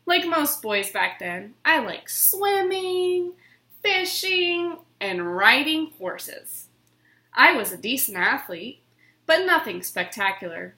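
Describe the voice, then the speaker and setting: A woman reads a children's book aloud in a theatrical, expressive way. Her voice is bright and swoops widely, taking its time over each phrase in a roomy, echoing space. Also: sits high. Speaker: storybook reader. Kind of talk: dramatic children's book reading. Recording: roomy, echoing room sound.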